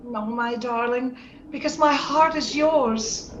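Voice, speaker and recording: Woman acting a high-voiced, passionate, willful young woman. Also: slow. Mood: rapturous, tender. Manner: theatrical, impetuous. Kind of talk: old-time radio drama acting. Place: indoor room, remote video-call session. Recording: roomy, dull video-call audio, faint noise.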